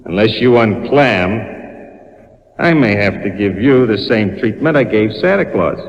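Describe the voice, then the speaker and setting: A menacing gangster in an old-time radio crime drama. He has a low-set voice and spits threats and wisecracks in tough-guy slang. The sound is muffled, with a long reverb tail. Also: expressive, a little slow, slight background noise. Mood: cold threat.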